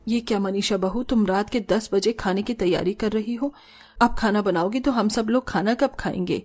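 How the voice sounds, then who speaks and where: A middle-aged mother-in-law from a cartoon family story, acted by a woman. She speaks at a medium pitch, caring and motherly but firm and ready to scold. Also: moderate pace, darkish tone, lightly acted. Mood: reproachful. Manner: reproachful, motherly. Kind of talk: cartoon family drama acting.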